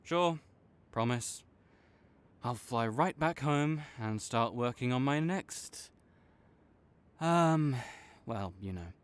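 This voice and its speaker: A man playing a tsundere supervillain in an audio roleplay, halting and exasperated, teasing and reluctantly caring toward a rival. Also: mid-range voice, lively intonation, clear, resonant tone. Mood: sheepish, teasing. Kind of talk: intimate audio roleplay addressed to the listener.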